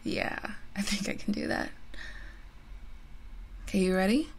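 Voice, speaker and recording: A woman's voice: medium pitch, measured pace, close to an everyday voice. A woman playing a teasing, competitive gamer best friend in a casual, giggly ASMR roleplay. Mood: bantering. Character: playful, competitive gamer girl, listener's close best friend. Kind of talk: ASMR roleplay, casual one-sided conversation.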